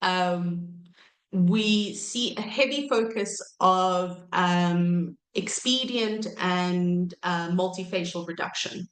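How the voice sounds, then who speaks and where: A woman in her thirties giving an academic lecture while croaky from a cold, pausing often with ums and correcting herself. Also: fairly low pitch, roomy sound, rough recording. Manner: hesitant, many ums and self-corrections. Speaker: archaeology researcher giving a talk.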